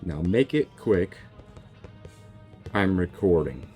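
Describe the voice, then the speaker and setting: A young man with a very low voice reacts to a video game, joking and excitably talking back to its characters. His pitch swings widely as he reacts. Faint game music runs underneath. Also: slow. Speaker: gaming YouTuber. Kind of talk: casual game reaction commentary.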